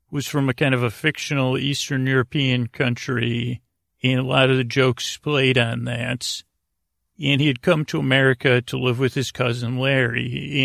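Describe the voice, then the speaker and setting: A middle-aged man hosting a podcast as a rambling bedtime monologue, halting and drifting into digressions as he interrupts himself. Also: mid-range voice, vocal fry, a bit bright, dry recording, studio quality. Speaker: podcast host.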